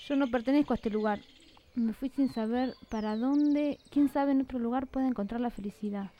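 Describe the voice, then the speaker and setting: A woman's voice: a little high, fairly quick, muffled recording, slight background noise, close to an everyday voice. A woman acting an earnest, kind-hearted young woman in a live radio play. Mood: tender, reading a letter.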